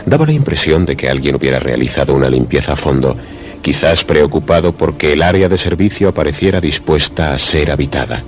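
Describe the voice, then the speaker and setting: Super deep guy narrating a radio thriller, measured storytelling, music going behind him. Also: animated. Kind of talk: scripted suspense radio drama narration.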